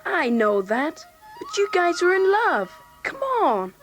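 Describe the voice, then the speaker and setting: A woman's voice: very high voice, wildly swooping pitch, slow pace, soft background music, exaggerated voice. A woman playing an eager, inquisitive teenage boy in a dramatised read-along story, talking in a casual, blunt way. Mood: incredulous.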